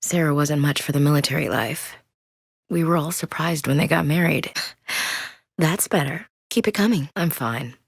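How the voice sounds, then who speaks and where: An actress voicing a tough, blunt young female soldier in a video game, plainspoken and loyal, shouting short orders in combat. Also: deep voice, normal speed, gravelly, husky, dry recording, studio quality, exaggerated voice. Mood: candid. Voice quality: plainspoken and direct. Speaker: squad soldier. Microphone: close studio mic.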